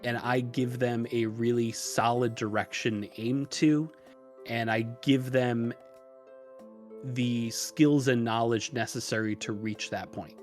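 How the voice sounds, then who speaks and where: A man's voice: medium pitch, fairly even intonation, takes it slowly, darkish tone. Man, mid-range voice, casual interview talk, rambling and hesitant, faint music behind. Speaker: podcast guest who runs a tutorial channel. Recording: music mixed under the voice.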